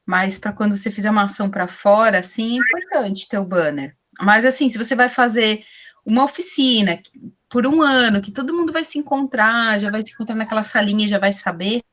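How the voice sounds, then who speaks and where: A woman's voice: mid-range voice, brisk, fairly bright tone. Workshop teacher, woman in her forties, chatty explanatory tone, laptop webcam at home. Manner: chatty, explanatory, asks herself questions. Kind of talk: online class teaching. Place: small room at home. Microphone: laptop webcam on video call.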